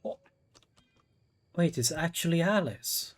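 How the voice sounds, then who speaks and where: Game streamer, mid-pitched, very slow and halting, swinging intonation, casual reactions. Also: expressive. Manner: halting short exclamations.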